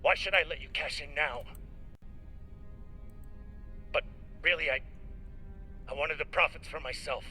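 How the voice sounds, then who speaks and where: An actor playing a desperate, self-serving, profane spaceship captain in a dramatic sci-fi game. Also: male voice, fairly high pitch, fairly quick, sharp tone, faint music behind. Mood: urgent bargaining. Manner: gruff, profane, pleading. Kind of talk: dramatic video game acting.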